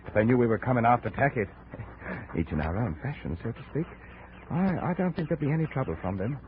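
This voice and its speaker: A man acting a seasoned, hard jungle guide with a gruff, domineering manner in a 1950s radio drama. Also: medium pitch, expressive, a little fast, lightly acted. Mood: measured. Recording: scratchy, narrow-band 1950s radio recording.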